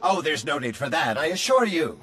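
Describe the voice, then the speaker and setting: A man voicing a polite, talkative alien head in a video game, courteous and smooth-talking. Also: on the high side, sing-song, fairly quick, fairly bright tone, soft background music. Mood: suave. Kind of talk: video game cutscene acting.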